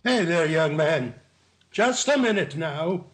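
A man's voice: on the high side, expressive, slow, very noisy. A man playing a kindly, paternal policeman in an old-time radio sitcom. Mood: suspicious, amused.

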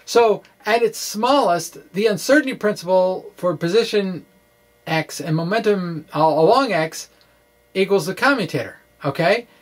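A middle-aged man giving an informal physics explanation to camera, speaking haltingly in a wry, quirky way with playful asides, into a microphone in a small room. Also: high-pitched, expressive. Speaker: physics blogger and presenter. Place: small indoor room with bookshelves. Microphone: microphone on a stand in front of him.

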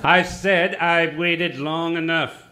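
An actor playing a greedy, scornful old banker in an amateur radio play, talking in a cold, contemptuous, domineering way. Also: male voice, on the high side, steady tone, slow pace, heavy background noise.

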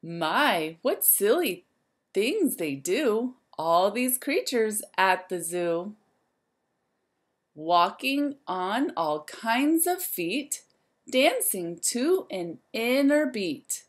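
A woman in her thirties reads a children's picture book aloud. Her mid-pitched voice moves very freely up and down, sing-song and expressive. She takes her time and talks directly to young listeners as a teacher would. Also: extremely slow.

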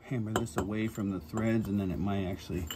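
A man's voice: fairly low pitch, fairly even intonation, fairly quick, heavy background noise. A man casually thinking aloud as he works on a repair in his basement, filming on a handheld phone. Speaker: DIY homeowner filming a repair. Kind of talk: casual narration while working. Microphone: handheld phone camera.

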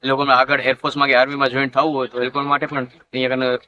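A man's voice: medium pitch, little pitch movement, racing, bright, forceful tone, dull audio. A young man gives an informal interview as a student, in a slightly noisy field recording. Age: young adult. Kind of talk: informal vox pop.

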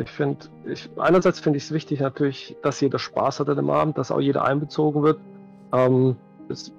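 A man's voice: a little high, gravelly, highs cut off. A man in his forties giving casual interview answers as a game master, thinking aloud and repeating words as he searches for them. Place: indoors at home, video call. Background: background music.

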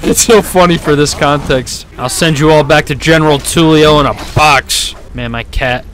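A man's voice: on the high side, moderate pace, a bit bright, slight background noise. A man in his twenties giving casual, joking, sarcastic video game commentary into a close desk microphone. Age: 20s. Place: small room at home. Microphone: close desk microphone with headset.

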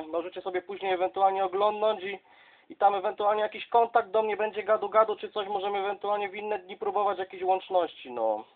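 A man from southern Poland making casual, chummy contact as a CB radio operator, addressing others as colleagues. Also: high-pitched. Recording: dull, lo-fi sound.

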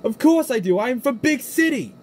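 A man voicing a chatty tugboat with a British accent, polite but quick to protest, in an amateur cartoon parody. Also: very high voice, expressive, a little hiss or hum. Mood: indignant, protesting. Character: chatty, easily indignant tugboat from America.

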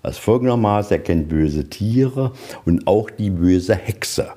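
A man's voice: fairly low pitch, lively intonation, measured pace, dark tone. A man working as a museum guide, telling stories informally and folksily, often posing questions and answering them himself. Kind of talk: informal guided tour storytelling. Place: inside a museum.

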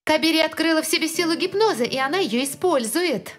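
A woman's voice: sits high, animated, fast talker, music in the background. A woman voicing a perky, confident teenage angel girl in a cartoon dub. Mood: determined. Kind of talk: animated cartoon dub acting.

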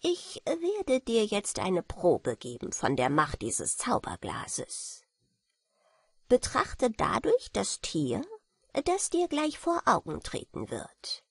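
A woman's voice: medium pitch, huge pitch swings, takes it slowly, creaky, husky, no echo, clean studio sound, heavily acted. A woman voicing a small, solemn dwarf king from a fairy tale, pleading in earnest, formal speech. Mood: cautioning, instructive.

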